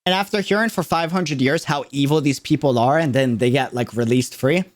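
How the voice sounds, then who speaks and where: A man's voice: mid-range voice, brisk. A man giving a casual livestream reaction in an emphatic, slangy way, repeating phrases to drive them home. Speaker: streamer reacting to a game character trailer.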